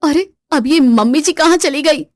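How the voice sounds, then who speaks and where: A woman plays a boastful, sharp-tongued young wife in a storybook tale, her voice pitched high above the narration. She sounds taunting and frazzled by turns, and the recording is close and dry. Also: normal speed, no room sound, lightly acted. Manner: taunting, then shrill and frazzled.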